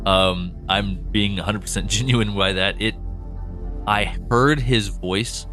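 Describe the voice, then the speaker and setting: A man's voice: fairly low pitch, slow, over music. A man co-hosting a podcast and chatting casually, rambling with frequent restarts. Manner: rambling, restarts often, laughs easily. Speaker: co-host and writer.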